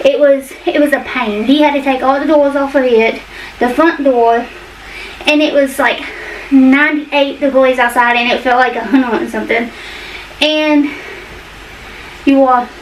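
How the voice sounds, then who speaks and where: High-voiced Southern American woman, folksy. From United States. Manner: folksy, colloquial. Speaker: vlogger. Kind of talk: casual chat to camera while cleaning. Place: indoors in a cluttered home hallway. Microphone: camera mic, arm's length to a few steps away. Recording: distant microphone with noticeable room noise.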